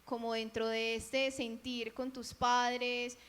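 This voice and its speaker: A woman's voice: on the high side, little pitch movement, slow, fairly bright tone, clear, resonant tone. A woman hosting a faith interview, asking her guest questions in a friendly, inviting way. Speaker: interview host.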